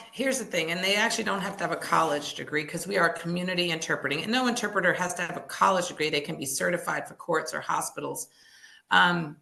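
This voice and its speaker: Middle-aged woman, gravelly but bright, talks earnest and explains a lot, lowish voice. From United States. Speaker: language services founder. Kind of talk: interview answers.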